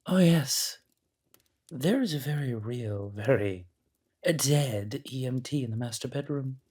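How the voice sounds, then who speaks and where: A man's voice: mid-range voice, expressive, a little mellow, husky. A man playing an ancient monster in human guise, mockingly polite and possessive, in a theatrical horror audio roleplay. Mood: gleeful taunting. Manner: taunting, theatrical, drawn-out delivery.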